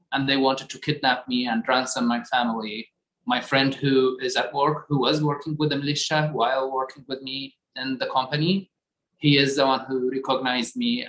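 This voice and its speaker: A man, earnestly recounting his own life story in a measured way. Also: mid-range voice, fairly bright tone. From Iraq. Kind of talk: personal testimony. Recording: roomy echo.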